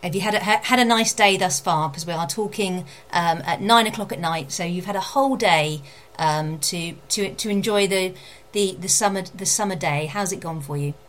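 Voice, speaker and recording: A woman's voice: lowish voice, moderate pace, hardly any pauses, fairly bright tone. A woman interviewing in a casual, chatty way, informal and encouraging with her guest.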